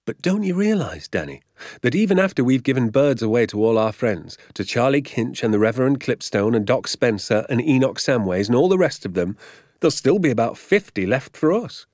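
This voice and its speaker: A man's voice: medium pitch, very animated, fairly quick, darkish tone, no room sound, studio quality. A man voicing an exuberant, boastful father in a storybook, grandly emphatic and stretching his words with relish. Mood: eager.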